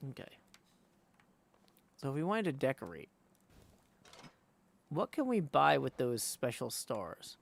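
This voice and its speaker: A man's voice: mid-range voice, wildly swooping pitch, slow, creaky, long pauses, slightly rough. A man streaming a video game, chatting casually and thinking aloud as he reads text off the screen. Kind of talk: casual game livestream commentary.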